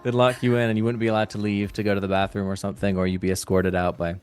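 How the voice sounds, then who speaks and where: Guy being interviewed, lowish voice, halting casual chat, bit of music behind him. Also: flat delivery, a little mellow. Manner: halting, thinking aloud. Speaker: interview guest, voice actor.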